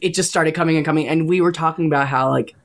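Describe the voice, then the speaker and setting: A young man with a high voice that gets taken for a woman's, a Broadway actor chatting as a guest in a bubbly way, with lots of fillers and laughs. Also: fairly even intonation, quick pace. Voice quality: high-timbred voice often taken for a woman's on the phone. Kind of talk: casual podcast chat.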